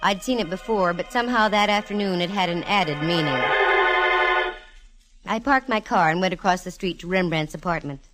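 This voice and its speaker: A woman's voice: fairly low pitch, moderate pace, close to an everyday voice, poor audio. An actress playing a witty, self-assured female private detective in a 1940s radio drama, with wry, teasing banter. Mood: wry, confiding. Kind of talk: 1940s radio detective acting with first-person narration. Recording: vintage 1940s radio, music behind.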